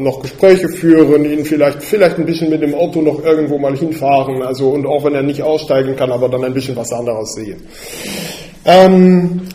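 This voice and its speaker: A man from Belgium preaching to his congregation, hesitant and halting, often pausing to find his words. His voice is mellow and a touch high. It rings in an echoey church hall. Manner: hesitant, halting, many fillers. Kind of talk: personal sermon.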